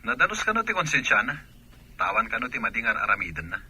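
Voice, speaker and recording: A man's voice: medium pitch, wildly swooping pitch, quick pace, sharp tone, breathy edge, exaggerated voice. A man playing a jealous, accusing soldier husband in a radio drama, with a Filipino accent. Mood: bitter.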